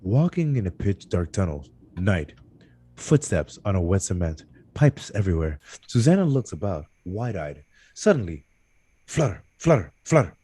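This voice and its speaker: A man narrating screenplay stage directions at a live table read, with a husky voice and occasional stumbles that he corrects. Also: sits low, sing-song, normal speed, dark tone, no echo. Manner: stumbles over words and corrects himself.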